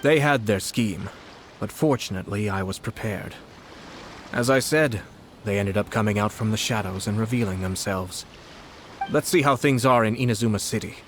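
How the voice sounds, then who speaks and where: A man playing a calm, calculating noble. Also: fairly low pitch, slight background noise, close to an everyday voice. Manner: measured, polite and calculating. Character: calm, scheming young male noble commissioner. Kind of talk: video game cutscene dialogue.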